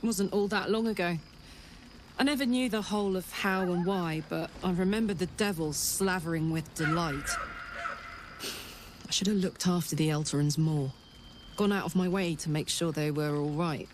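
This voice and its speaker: A woman's voice: fairly low pitch, moderate pace, a little hiss or hum, close to an everyday voice. An actress voicing a blunt, plain-spoken soldier woman with a mechanical heart, speaking with rueful candor. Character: gruff soldier woman with an infernal engine heart.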